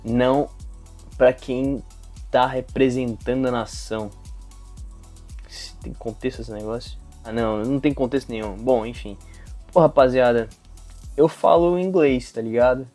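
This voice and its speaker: A man's voice: medium pitch, unhurried, pauses often, soft background music. A young man from Brazil talking casually to camera about his acting campaign, full of slang and swearing. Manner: slangy, profane, animated venting. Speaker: aspiring actor vlogger.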